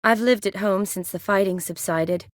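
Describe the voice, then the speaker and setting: A young woman medic from a video game , voiced by an actress, speaks at a natural middle pitch with an expressive, conversational delivery. She is kind and spirited, with a teasing streak and a wistful side. The sound is close and dry, free of room echo. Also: normal speed, close to an everyday voice. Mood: earnest. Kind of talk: video game dialogue acting.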